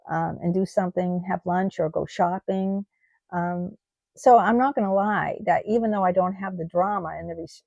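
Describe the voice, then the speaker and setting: A middle-aged woman from the United States talking in a personal vlog, halting with many ums and restarts, her voice tired and starting to go. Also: on the low side, gravelly, darkish tone, no room sound, muffled recording. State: losing her voice. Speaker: vlogger.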